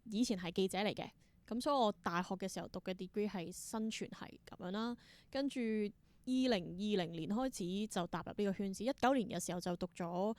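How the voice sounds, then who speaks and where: A woman's voice: medium pitch, fairly quick, darkish tone, dry recording. A woman with a Hong Kong accent chatting casually as an interview guest. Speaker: podcast guest, independent theatre artist.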